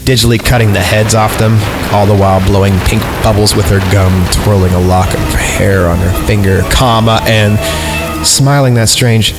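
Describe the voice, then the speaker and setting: A man with a fairly deep voice hosts a horror podcast, reading stories aloud. He slips into casual, sarcastic asides in an irreverent way. Music plays clearly behind him. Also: fairly even intonation, normal speed. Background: background music.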